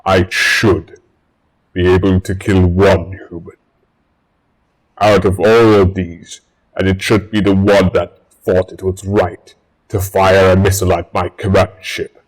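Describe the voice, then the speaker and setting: Man acting a low, slow, menacing alien warrior. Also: flat delivery, poor audio. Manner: slow, measured, threatening delivery. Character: vengeful male alien warrior commander, menacing, proud. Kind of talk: fan audio drama acting.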